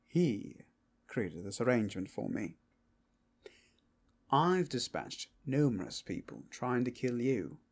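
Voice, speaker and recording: A man portrays a suave vampire in a fantasy roleplay, cool and dangerous. He teases and probes without hurry, his husky voice gliding widely up and down. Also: medium pitch, big pitch swings, takes it slowly, long pauses, a little mellow, exaggerated voice. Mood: suave, curious.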